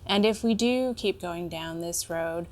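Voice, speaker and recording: A woman's voice: on the low side, unhurried, lightly acted. A woman playing a candid, frustrated wife in a couples therapy dialogue, speaking in an articulate, pointed way with some hesitations. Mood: candid, pragmatic. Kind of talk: role-played therapy session dialogue.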